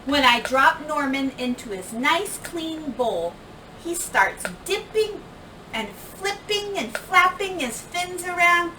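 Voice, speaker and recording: A woman reading a children's picture book aloud like a teacher, with expressive, emphatic delivery that acts out the words. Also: high-pitched, slow. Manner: animated, emphatic delivery with acted-out dialogue and sound words. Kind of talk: expressive storybook read-aloud. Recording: roomy, distant microphone, clear background noise.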